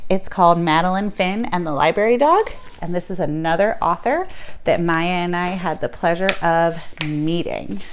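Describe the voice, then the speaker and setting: A woman's voice: lowish voice, normal speed, slight background noise. A woman hosting a read-aloud for young listeners, speaking expressively and directly to them.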